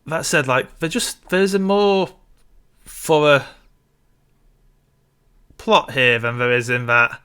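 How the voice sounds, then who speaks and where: A man's voice: fairly high pitch, animated, takes it slowly, pauses often, sharp tone, clean tone. A man co-hosting a casual discussion about films. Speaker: podcast co-host.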